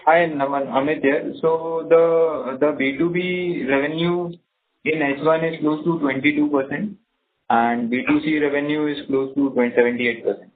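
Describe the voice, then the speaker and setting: A man with an Indian accent answering as a company executive on an earnings call, halting and measured, pausing between short phrases. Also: on the high side, fairly even intonation. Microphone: conference phone call. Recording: narrow tinny phone line.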